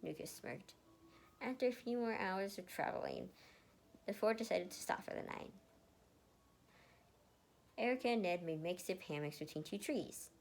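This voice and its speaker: Animated woman reading a story. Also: medium pitch, moderate pace, creaky, pauses often. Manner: animated, stumbles and re-reads words. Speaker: fan fiction reader. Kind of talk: expressive amateur story reading.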